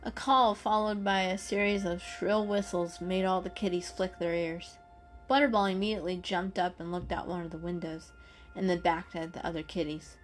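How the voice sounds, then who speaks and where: A woman's voice: fairly low pitch, fairly even intonation, normal speed, faint music behind. A woman narrating a children's story as a dramatic reading.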